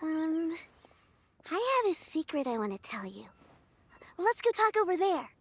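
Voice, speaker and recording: Very high-voiced shy little girl. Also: sing-song, unhurried, a little hiss or hum, heavily acted. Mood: hesitant, curious. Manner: hesitant, childlike, informal. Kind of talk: video game voice acting. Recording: music under the voice.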